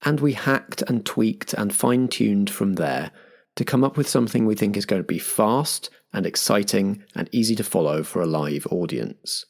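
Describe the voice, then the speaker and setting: A man's voice: lowish voice, animated, moderate pace. A man hosting a roleplay podcast and narrating as game master. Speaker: game master and show host.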